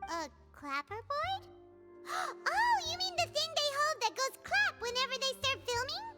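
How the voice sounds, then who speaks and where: A tiny, eager fairy from a video game. The voice is extremely high and squeaky, swooping with bubbly childlike curiosity over prominent music. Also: female voice, big pitch swings, unhurried, exaggerated voice. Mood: puzzled, curious. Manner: bubbly, naive, refers to self in third person.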